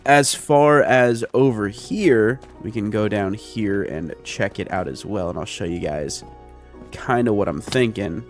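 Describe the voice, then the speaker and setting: A man's voice: fairly low pitch, unhurried. A man giving casual, chatty gameplay commentary, explaining his plans step by step as a video creator speaking into a close mic. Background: background music.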